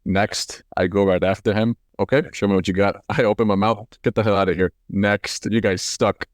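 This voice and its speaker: Deep-voiced man from Colombia, casual storyteller. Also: fairly even intonation, moderate pace, no room sound, clean studio sound. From Cali, Colombia. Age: 40s. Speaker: company CEO being interviewed. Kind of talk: casual autobiographical storytelling in an interview.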